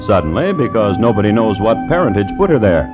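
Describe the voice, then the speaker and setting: A low-pitched man narrates crime stories for vintage radio. His manner is dry and droll, deliberate yet lively in intonation. The old recording is muffled, with music behind him. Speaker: host and narrator.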